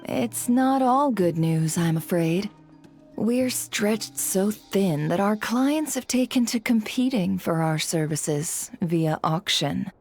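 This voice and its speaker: A woman's voice: mid-range voice, lively intonation, slow pace, darkish tone, soft background music. A woman playing a shrewd, coolly polite intelligence broker in a video game, composed and coy. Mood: grave, businesslike. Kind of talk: video game character dubbing.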